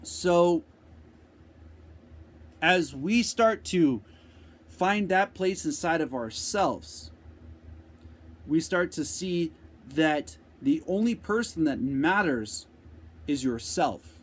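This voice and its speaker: A man giving an unscripted motivational talk, speaking slowly and deliberately with repeated phrases, answering a viewer's question. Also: fairly high pitch, animated. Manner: slow, deliberate, repetitive phrasing.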